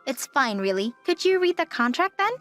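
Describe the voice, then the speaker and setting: A woman performs a young travel agent in a manga-style drama. She sounds kind and professional, courteous and composed with a gentle firmness. Soft music plays underneath. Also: high voice, big pitch swings, moderate pace. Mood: gentle, reassuring. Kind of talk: anime-style manga dub acting.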